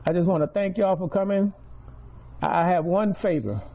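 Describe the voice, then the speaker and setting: A man's voice: high voice, moderate pace, vocal fry, narrow, tinny audio. A man, giving a preaching testimony to a congregation, repeating key words and building volume on stressed phrases. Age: 60s.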